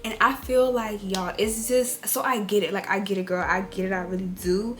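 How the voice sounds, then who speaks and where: A woman in her twenties with a raspy, rough voice from a cold, giving casual advice straight to her viewers. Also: mid-range voice, steady tone, moderate pace, fairly bright tone. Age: 20s. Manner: chatty, direct, addresses viewers as y'all and girl. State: hoarse from a cold. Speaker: beauty and lifestyle vlogger. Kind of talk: casual girl-talk advice.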